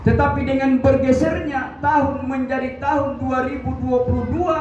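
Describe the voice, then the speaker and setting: A man's voice: fairly even intonation, darkish tone. Man in his sixties preaching in an echoey mosque. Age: 60s. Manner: measured, rising in pitch and emphasis as he builds. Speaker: Friday sermon preacher. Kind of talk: Friday mosque sermon. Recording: echoey, distant, dull mosque recording with light noise.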